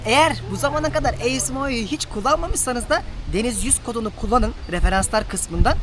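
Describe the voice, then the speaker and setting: A man hosting a casual travel vlog, talking informally and directly to viewers. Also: very high voice. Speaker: travel vlogger, host. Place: outdoors and on the road. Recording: noisy outdoor audio with traffic ambience.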